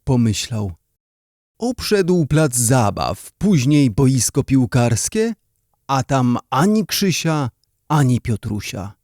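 A man's voice: mid-range voice, big pitch swings, takes it slowly, a little mellow, dry recording, studio quality. A man narrating a scripted story for children, as a storyteller.

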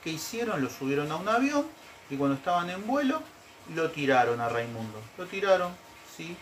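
Man, 40s, storytelling host, explanatory, checks in with listeners, slightly high voice, home living room, faint background noise. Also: big pitch swings, a little fast. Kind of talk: casual storytelling to camera. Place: living room, seated on a sofa.

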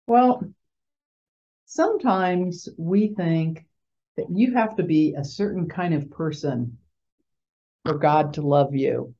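A woman in her fifties, a chaplain giving a gentle, playful children's chapel talk from a small office over a webcam. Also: low-pitched. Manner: gentle, playful, slow and clear like talking to children. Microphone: webcam video call. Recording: slightly dull lo-fi webcam audio.

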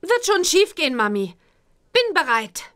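A woman's voice: very high-pitched, big pitch swings, measured pace, fairly bright tone, exaggerated voice. A woman playing an eager, childlike young witch girl in a German children's computer game. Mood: confident, eager.

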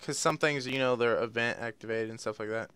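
A man's voice: mid-range voice, a little fast, pushed and bright, clear, resonant tone, no echo. A young man chatting in a laid-back, goofy way while playing a co-op horror game. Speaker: co-op gaming partner. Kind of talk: casual co-op gameplay chat.

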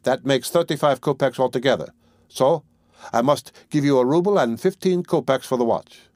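A man voicing a severe, stingy old woman pawnbroker who talks in a curt, sharp, haggling way. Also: medium pitch, normal speed, no room sound, clean studio sound, lightly acted.

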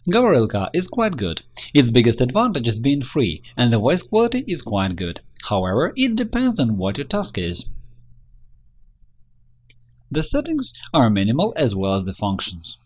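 Man narrating tutorial, very animated pitch. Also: moderate pace. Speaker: tutorial presenter.

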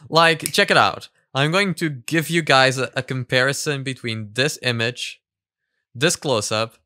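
A man in his twenties giving casual, sweary reaction commentary in a halting way, close on a studio-style mic at home. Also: mid-range voice, sharp tone, clean tone, no room sound. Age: 20s. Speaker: reaction video host. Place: small home room, indoors. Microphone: microphone on boom arm, headphones.

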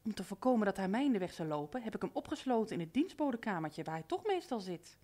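A woman's voice: mid-range voice, big pitch swings, fast, mellow. An actress playing an obedient, matter-of-fact female household helper in a radio play. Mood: dutiful.

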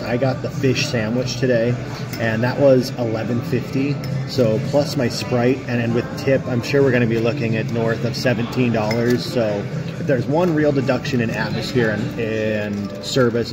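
A man, casually reviewing food on a phone at arm's length in a pub. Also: medium pitch. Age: 30s. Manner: upbeat, chatty, rambling with fillers. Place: booth in a pub restaurant. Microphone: phone held at arm's length.

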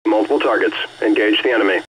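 A man playing a brisk military air-recon officer in a game, making clipped, authoritative radio calls. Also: on the low side, fairly bright tone, breathy edge, low-quality recording. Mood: alert, urgent.

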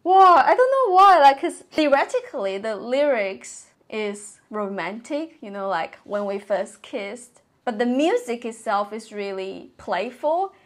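High-voiced young woman reacting excitedly. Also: unhurried, few pauses. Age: young adult. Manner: expressive, exclaiming in awe. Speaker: reaction video host. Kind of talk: casual excited reaction commentary. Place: small bedroom, indoors. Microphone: clip-on lapel mic.

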